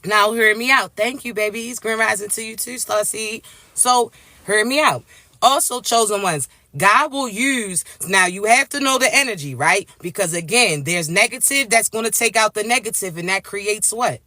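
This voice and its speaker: Raspy, congested man giving a spiritual reading. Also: high voice, moderate pace, bright, forceful tone, dry recording. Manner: emphatic, repeats "all right?" and "right?" tags. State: congested from allergies. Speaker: spiritual reader. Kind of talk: live spiritual reading, preachy direct address.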